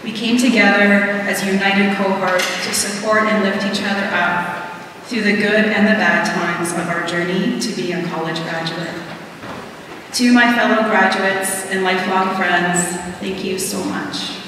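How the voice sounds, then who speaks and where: A young woman reading a prepared graduation speech from a podium in an auditorium, earnest and measured, pausing between words. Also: medium pitch, fairly even intonation, noisy background. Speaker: student graduation speaker. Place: large auditorium stage at a podium. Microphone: podium microphone heard from a distant camera. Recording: echoey hall from far off.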